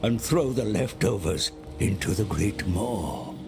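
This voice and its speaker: An actor playing a servile, scheming advisor, giving a solemn, coaxing briefing in a game. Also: male voice, on the low side, expressive, takes it slowly, creaky, music in the background, heavily acted. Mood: solemn urging. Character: servile, scheming male advisor to an ogre lord.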